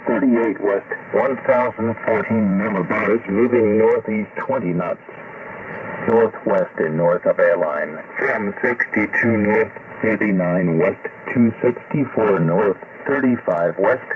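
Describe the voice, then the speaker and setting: A synthesized male voice reading a marine weather forecast in a measured, methodical way, received over shortwave radio. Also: lowish voice, far from the mic. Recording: noisy tinny shortwave radio reception.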